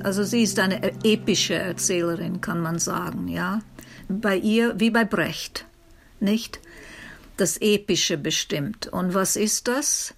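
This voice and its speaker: A woman speaking spontaneously as a literary commentator, thoughtful and halting, often seeking agreement with tag questions. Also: mid-range voice, gravelly, faint music behind.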